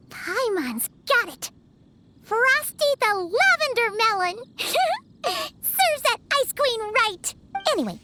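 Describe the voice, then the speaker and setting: Teeny squeaky fairy sidekick from a game, super high, very animated, childlike, exaggerated, easily miffed. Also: female voice, exaggerated voice. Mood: mischievous. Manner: exaggerated, refers to self in third person. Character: tiny childlike female fairy companion, chirpy, indignant, excitable. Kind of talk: cartoonish video game voice acting. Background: game music.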